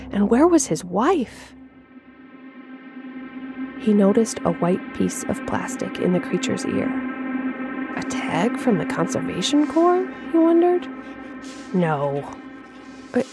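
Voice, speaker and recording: A woman reads her own spooky story in a measured, suspenseful way, her voice sitting a little low. Music plays clearly underneath the narration. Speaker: writer and narrator. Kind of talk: scripted horror story narration.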